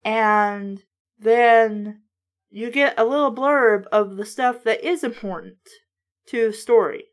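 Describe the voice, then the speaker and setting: Blunt, sweary woman with a higher-pitched voice. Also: slow. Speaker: host of a book-review show. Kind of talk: casual reading aloud with blunt critical commentary.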